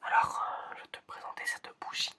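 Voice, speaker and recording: ASMR roleplay examiner: all whisper, bright tone, casual and encouraging, lots of soft word repetition. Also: brisk. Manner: whispers throughout, repeating words softly; casual, encouraging, informal phrasing. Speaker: ASMR creator playing an examiner. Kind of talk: ASMR roleplay, testing the listener's senses.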